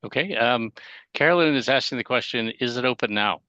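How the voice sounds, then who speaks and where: A man chairs a community meeting on a video call, his voice bright and crisp against a dry, close sound. He speaks loosely and amiably, dotting his sentences with "uh" and "um.". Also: mid-range voice, fairly quick, dry recording, dull audio. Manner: friendly, loose, frequent "uh" fillers. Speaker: neighborhood meeting host.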